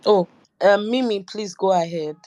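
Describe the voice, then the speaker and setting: A woman's voice: medium pitch, normal speed, warm tone, dry recording. A woman co-hosting a live audio room, chatting and calling speakers up one after another. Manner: chatty, organizing speakers in turn. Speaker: co-host moderating the audio room. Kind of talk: casual moderation of a live audio chat.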